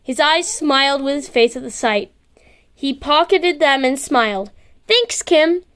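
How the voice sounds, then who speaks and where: A woman's voice: sits high, unhurried, fairly bright tone. A woman narrating a story as an amateur reader. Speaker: amateur fan fiction narrator.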